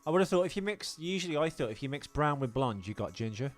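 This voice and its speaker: A British man with a medium-pitched voice talks as a podcast co-host. His style is casual chat. Faint music runs underneath. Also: very animated, fast talker.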